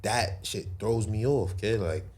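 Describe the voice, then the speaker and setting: Deep-voiced young New man, slangy. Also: a little slow, a little mellow. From Brooklyn, New York. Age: 20s. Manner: loose, filler-heavy street slang, says bro a lot. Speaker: guest entrepreneur. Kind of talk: casual podcast chat.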